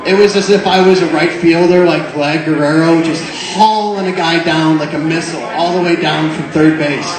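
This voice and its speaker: A young man telling a comic story to a live crowd through a microphone, animated and laughing often as he goes. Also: fairly high pitch, normal speed, hardly any pauses. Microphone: microphone into a speaker system. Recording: very echoey room with crowd noise.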